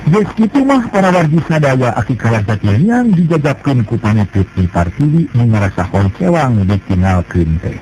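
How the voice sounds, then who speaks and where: A male storyteller narrating a folk tale in a measured, expressive way. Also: medium pitch, a little hiss or hum, poor audio. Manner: measured, expressive narration with rising and falling emphasis. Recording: music playing behind.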